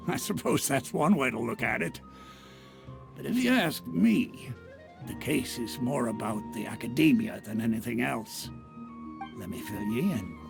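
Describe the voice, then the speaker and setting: A gravelly mercenary chief. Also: male voice, medium pitch. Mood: explaining. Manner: measured, wry, explanatory. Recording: game music clearly behind.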